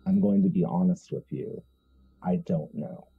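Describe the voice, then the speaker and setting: A man playing a tabletop roleplaying game, chatting and narrating his character in a halting, self-correcting way. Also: low-pitched, gravelly, husky, faint music behind. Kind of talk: casual chat and character narration. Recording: slightly dull, muffled audio.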